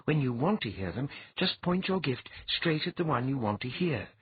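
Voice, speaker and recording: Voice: mid-range voice, very animated, moderate pace, phone-quality sound, a little hiss or hum, voice pushed up, close to an everyday voice. A reader playing a patient, wry woman teacher.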